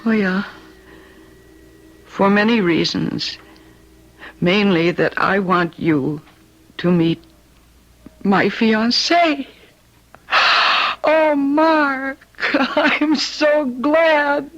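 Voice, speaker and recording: An actress playing an excitable, weary stage singer in an emotional, theatrical radio drama. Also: female voice, fairly high pitch, very slow, long pauses, exaggerated voice. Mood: moved. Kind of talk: 1970s radio drama acting. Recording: dull old radio broadcast recording.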